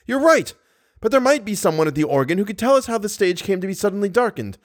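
A man's voice: a little high, very animated, fast talker, no room sound, clean studio sound, voice pushed up. A man voicing a frantic, harried male stage manager who roars and fumes in exasperation. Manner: roaring, exasperated, urgent.

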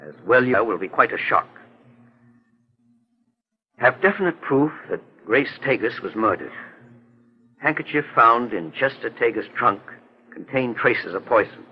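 A man playing a kindly, assured older gentleman detective in a 1940s radio drama. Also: mid-range voice, expressive, measured pace, pauses often, breathy edge. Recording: dull lo-fi 1940s radio recording.

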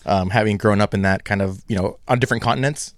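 Lowish-voiced eager podcast co-host. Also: male voice, fast talker, no room sound, studio quality. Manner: enthusiastic, laughs along. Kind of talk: casual chat.

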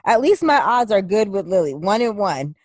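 Young woman podcast co-host, casual and blunt, very lively swooping delivery, dry close sound. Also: medium pitch, normal speed, no echo. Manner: animated, blunt, swears freely. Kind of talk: casual chat.

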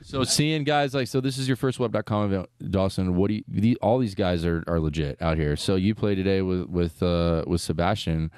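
Man, very low pitch, dry, rambling, casual golf chat host. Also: a little mellow, no echo. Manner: rambling, many restarts and fillers.

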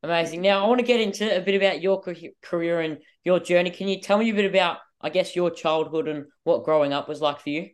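Male podcast host, high-pitched, enthusiastic interviewer. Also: quick pace, few pauses. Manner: upbeat, eager questions.

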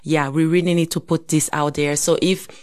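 A woman in her thirties from Congo talks through her thoughts on a podcast. Her voice sits somewhat low, and she speaks reflectively, pausing and restarting as she goes. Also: level tone, darkish tone. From Congo (DRC). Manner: reflective, thinks aloud with many fillers and restarts. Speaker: podcast co-host. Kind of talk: casual conversation.

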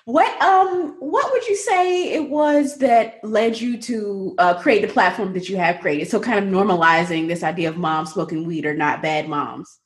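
A woman's voice: mid-range voice, measured pace, few pauses. A woman hosting a podcast, reflecting on an episode and then interviewing a guest in a friendly way with some fillers. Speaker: podcast host.

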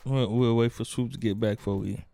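A man's voice: medium pitch, steady tone, a little fast, creaky, mellow, faint music behind. A man hosting a podcast in a laid-back, joking, rambling way. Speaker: podcast host. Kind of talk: casual podcast banter.

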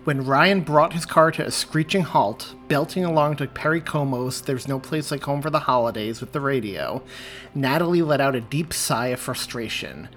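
A man's voice: medium pitch, animated, moderate pace, fairly bright tone, soft background music. A man hosting a podcast, reading aloud a chapter of a story he wrote, with friendly, apologetic asides. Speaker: podcast host and author reading his story. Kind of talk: audiobook narration.

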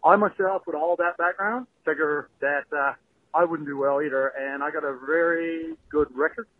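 A man's voice: a little high, steady tone, moderate pace, no room sound. A man from Newfoundland with a thick Newfoundland accent, calling in to a podcast, friendly and rambling with lots of fillers. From Newfoundland, Canada. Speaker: caller. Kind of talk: voicemail call-in. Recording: tinny phone line.

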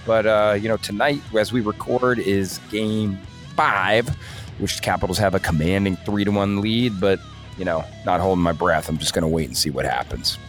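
Lowish-voiced casual male co-host. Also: moderate pace, faint music behind. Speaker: podcast co-host. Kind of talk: casual chat.

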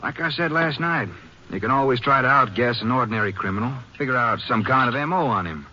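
A man's voice: medium pitch, expressive, quick pace, pushed and bright. An American man playing a plainspoken Texas Ranger sidekick in a 1950s radio drama, with a casual, questioning manner. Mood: puzzled, reasoning. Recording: muffled old radio broadcast with faint noise.

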